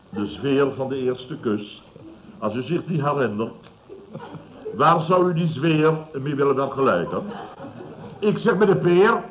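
An actor in his fifties playing a self-satisfied, blustering building boss in a vintage radio comedy, chuckling and repeating himself. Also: medium pitch. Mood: blustering, mocking. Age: 50s. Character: gruff, self-satisfied older male building boss telling tales. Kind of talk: 1970s Dutch radio comedy acting. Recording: muffled, noisy vintage radio recording.

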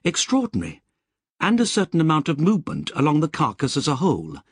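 A man voicing a precise, curious artificial intelligence, speaking crisply and formally. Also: on the high side, huge pitch swings, fast talker, no echo, clean studio sound, voice pushed up, exaggerated voice. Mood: eager.

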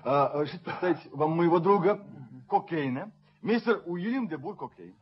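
Male actor, brash young doctor, high animated voice, blunt, impulsive, radio drama, dull recording. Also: normal speed, natural voice. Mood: offhand. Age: young adult. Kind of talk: theatrical radio drama acting.

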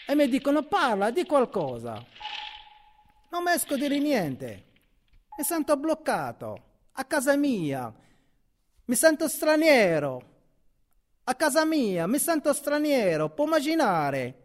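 A man from Morocco, a native Arabic speaker with a Moroccan accent, telling his own migration story in simple, halting, heartfelt words. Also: extremely high pitch. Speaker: migrant giving testimony. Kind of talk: personal storytelling.

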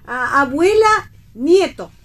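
A woman's voice: sits high, sing-song, slow, fairly bright tone, full character voice. An actress playing a chatty, naive, kindly middle-aged mother in radio theatre, with an Argentine accent. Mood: flustered, impressed.

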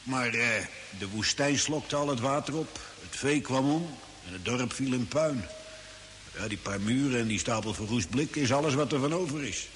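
An actor playing an easygoing old desert hermit in a radio drama, folksy and hesitant in his unhurried talk. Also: mid-range voice, a bit bright, lightly acted. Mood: matter-of-fact. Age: older. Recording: hissy old radio recording with long reverb.

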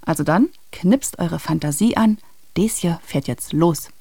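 A woman's voice: on the low side, a little slow, mellow, a little hiss or hum. A woman hosting a children's radio show, chattily introducing a story straight to young listeners.

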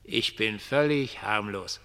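An actor in a German radio play playing a rambling, irreverent homeless dreamer with a theatrical, drawn-out storytelling manner. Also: male voice, on the low side, fairly bright tone. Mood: cheeky. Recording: long reverberant hall sound.

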